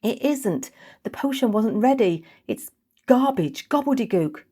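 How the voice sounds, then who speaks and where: Young female trainee witch, acted by a woman, storybook character, excitable, exasperated, dutiful. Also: fairly high pitch, measured pace, breathy edge, pitched above the performer's own voice. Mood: panicked, exasperated.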